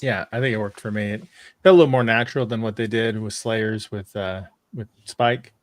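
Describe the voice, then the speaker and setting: A man's voice: on the low side, fairly even intonation, brisk, no room sound. A man sharing his opinions in casual, unscripted conversation as a fan panelist.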